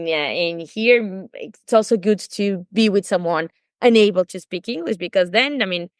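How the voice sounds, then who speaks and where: A woman's voice: lowish voice, smooth, no echo, clean studio sound. A woman who grew up speaking Portuguese, a language teacher talking in a casual podcast conversation, thoughtful, with many fillers and restarts.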